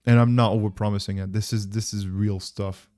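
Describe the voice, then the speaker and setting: A man's voice: on the low side, little pitch movement, brisk, warm tone, soft background music. A man with a French accent hosting a casual livestream, talking to viewers in an informal, rambling way as he thinks aloud. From France. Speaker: livestream host.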